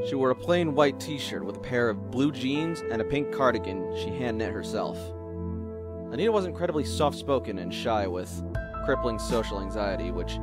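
A man narrating a scripted story in a measured, literary way. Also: on the low side. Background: music.

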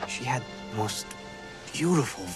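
An actor playing an earnest, smitten young prince in an animated film. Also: male voice, mid-range voice, a little slow, faint music behind, lightly acted. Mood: dazed, smitten.

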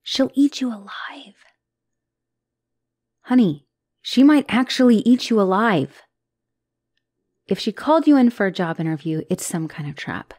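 A woman acts out a devoted young monster girlfriend in an intimate ASMR roleplay. She is anxious and unsure of herself, with a hesitant, emotional delivery that often softens toward a whisper. The voice sits at a medium female pitch in a very dry recording. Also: lively intonation, unhurried, long pauses, no room sound. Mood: alarmed, fearful.

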